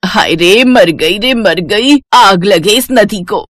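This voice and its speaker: A woman's voice: mid-range voice, expressive, slow, fairly bright tone, slight background noise. A woman voicing a cranky older mother-in-law in a cartoon story, wailing, scolding and complaining. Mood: wailing in pain. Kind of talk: cartoon moral story acting.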